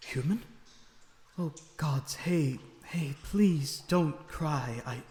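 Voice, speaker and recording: A man's voice: fairly high pitch, darkish tone. Echoey, lonesome vampire prisoner, acted by a man. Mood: concerned, shocked. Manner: earnest, pleading, halting, emotional delivery. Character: lonesome, remorseful, gentle male vampire prisoner. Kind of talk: dramatic audio roleplay acting. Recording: echoey reverberant dungeon-like sound, background noise.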